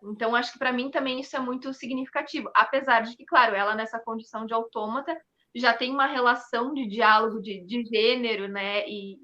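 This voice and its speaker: Bright, high-voiced woman in reflective interview. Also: steady tone, dull audio. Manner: halting, thinking aloud, frequent checking tags. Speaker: theatre company member, interview guest.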